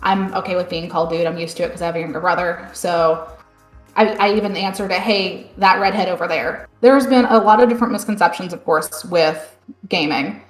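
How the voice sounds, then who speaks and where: A woman hosting a live online panel discussion, chatty and relaxed. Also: fairly low pitch, fairly quick, roomy sound, soft background music. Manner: relaxed, chatty, many fillers like "end up". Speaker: panel host.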